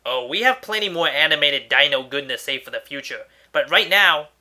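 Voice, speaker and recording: A man's voice: a little high, animated, a little fast, sharp tone. A man narrating a scripted countdown review as host, with emphatic delivery and wry asides, over music. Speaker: host of an online cartoon countdown show. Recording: music under the voice. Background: background music.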